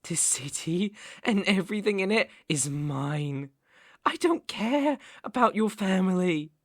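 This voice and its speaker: A man with a British accent playing a possessive, unstable mafia boss in an ASMR roleplay, brooding and deliberate, with menacing tenderness. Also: high-pitched, lively intonation, dry recording, studio quality. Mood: cold, possessive. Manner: slow, deliberate, brooding, condescendingly affectionate. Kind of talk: ASMR roleplay, dramatic monologue.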